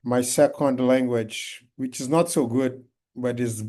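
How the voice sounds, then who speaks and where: Brazilian-accented man, Portuguese native, halting guest speaker on a call, lots of restarts. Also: mid-range voice, steady tone, dark tone. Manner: halting, many restarts and fillers. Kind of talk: online talk. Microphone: video call.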